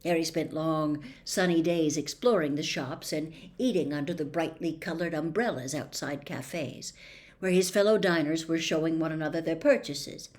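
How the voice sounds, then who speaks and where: A woman reading a story aloud as expressive audiobook narration, with measured, dramatic phrasing. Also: low-pitched. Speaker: storybook reader.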